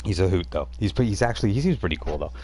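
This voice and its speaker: A man's voice: low voice, lively intonation, racing, a little mellow, slight background noise. A man running a tabletop game as game master, setting scenes in a loose, rambling way with casual asides.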